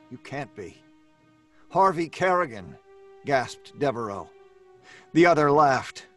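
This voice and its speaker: A man playing a writer who reads his thriller aloud with deliberate melodrama, in old-time radio style. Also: mid-range voice, close to an everyday voice. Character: writer dramatically reading out his suspense thriller.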